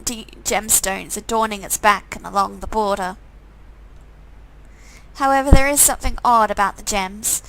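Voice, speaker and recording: A woman's voice: fairly high pitch, fairly bright tone, slight background noise, rough recording. A woman reading a visual novel game aloud, with sarcastic, amused asides in a casual style. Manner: sarcastic, amused commenting between read lines.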